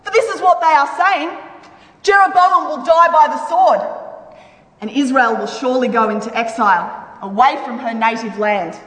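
A woman's voice: sits high, moderate pace, a bit bright. A woman giving a solemn, declamatory dramatic reading of scripture with emphatic delivery. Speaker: reader in a church dramatic reading. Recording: echoey hall with long reverb.

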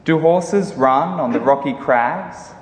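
Slow, solemn man in an echoing hall. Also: a little high. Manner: solemn, declamatory, deliberate phrasing. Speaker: Bible reader in a dramatic reading. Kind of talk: dramatic scripture reading. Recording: echoey hall with long reverb.

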